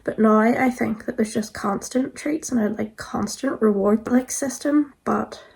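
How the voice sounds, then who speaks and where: A woman in her thirties, a bit croaky from being sick, chatting casually to camera as a vlogger with lots of "like" fillers. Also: a little high, measured pace, a little mellow. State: croaky, recovering from illness.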